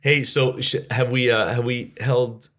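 A man's voice: mid-range voice, flat delivery, a little slow, a bit bright. A man hosting a casual podcast chat, joking and rambling with many fillers. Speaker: podcast host.

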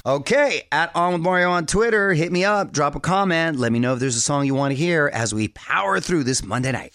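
A man hosting a radio show in a studio, upbeat and quick with promo patter, laughing often with his guest. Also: fairly high pitch, a bit bright, dry recording. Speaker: radio host. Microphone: studio microphone.

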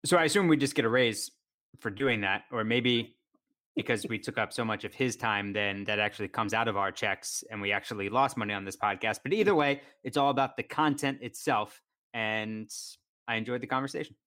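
A man's voice: on the low side, fairly quick, a bit bright. An American man hosting a sports podcast in a conversational interview style.

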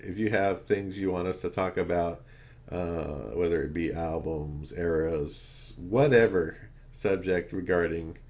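A man with a somewhat low voice co-hosts a relaxed podcast chat. He rambles, often restarting his sentences partway through. The sound is dull and lo-fi, lacking its top end. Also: unhurried. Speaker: podcast co-host. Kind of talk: casual chat.

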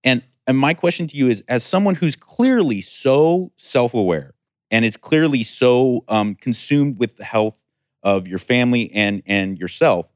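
A man co-hosting a podcast, asking long, halting interview questions. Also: medium pitch, animated, dry recording, studio quality. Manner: halting, searching for words. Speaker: podcast co-host.

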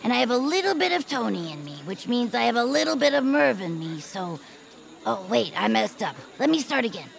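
A woman's voice: on the high side, very animated, brisk, vocal fry, heavily acted, poor audio. A woman voicing an eager young bird-like female fan in an audio drama, earnest and tangled in her own explanations.

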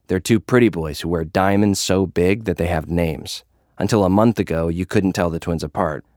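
A man acting as a young gladiator influencer with a low voice, recorded close and dry. He is jaded and puts up a tough front, telling his story in first person with casual, slangy confessions, like an audio drama lead. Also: darkish tone, no echo, close to an everyday voice.

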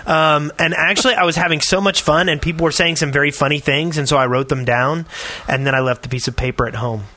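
A middle-aged man with a bright, forward voice co-hosts a casual gaming podcast. He tells his stories with animation, in loose conversational speech. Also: a little high, fairly even intonation, fast. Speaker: podcast co-host.

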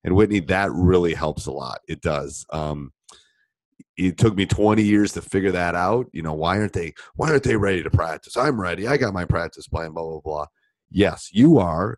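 A middle-aged man, a basketball coach, answering listener questions in a rambling, casual way, his voice roughened by a cold. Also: deep voice, dry recording. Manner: rambling, conversational, with many fillers and restarts. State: voice deeper than normal from a cold. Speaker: basketball coach and podcast host.

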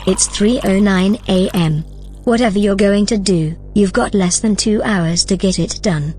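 An actress playing a calm, principled female artificial intelligence in a science-fiction audio drama, speaking in a measured, formal way. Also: mid-range voice, lightly acted. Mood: cool, matter-of-fact.

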